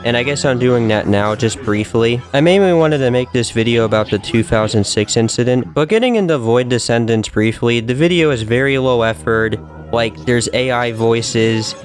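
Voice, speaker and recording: Casting: male online commentator, casual and opinionated, earnest and emphatic delivery, mid-range pitch, music underneath. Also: normal speed. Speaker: video commentator. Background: background music.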